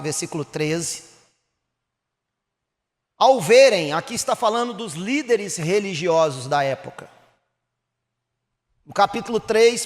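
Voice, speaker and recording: A Brazilian pastor from Minas Gerais with a Mineiro accent, preaching a sermon that rises to emphatic bursts and drops low again. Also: male voice, long reverb tail. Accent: Mineiro Brazilian Portuguese. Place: church hall.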